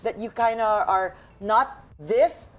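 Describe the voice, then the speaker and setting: A woman co-hosting a casual talk show, playful and quick to laugh. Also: a little high. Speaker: co-host.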